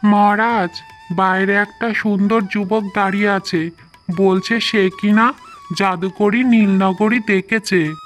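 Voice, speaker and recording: A man's voice: sits high, steady tone, takes it slowly, a bit bright, soft background music. A man voicing a dutiful palace soldier in a fairy-tale cartoon, reporting formally. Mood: urgent.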